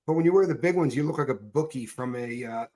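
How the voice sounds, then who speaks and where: A man's voice: mid-range voice, fast talker, crowd noise. A man, co-hosting a livestream, joking and teasing in an easygoing way. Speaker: livestream co-host. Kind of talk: casual chat.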